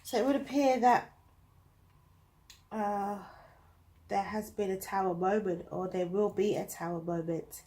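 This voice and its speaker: A woman's voice: mid-range voice, steady tone, unhurried, pauses often, mellow. A woman with a British accent, reading tarot cards to camera in a chatty, thinking-aloud way, her nose blocked up from a cold. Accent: British English. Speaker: tarot reader.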